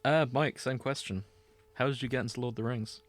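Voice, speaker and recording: A man's voice: mid-range voice, steady tone, brisk, no echo. A man hosting a casual podcast, joking and teasing with his friends. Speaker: podcast host.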